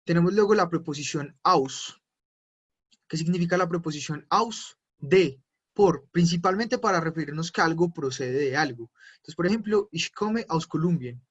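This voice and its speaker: A man's voice: fairly high pitch, moderate pace, slightly rough. A man teaching German in an online class, explaining patiently step by step with frequent fillers. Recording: dull lo-fi online class audio.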